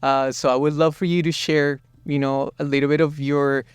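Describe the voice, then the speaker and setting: Casting note: male host from Colombia, smooth clear voice, even and flat, dry studio sound. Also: on the high side, no room sound. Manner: measured, unhurried questions. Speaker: podcast host. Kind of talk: interview hosting.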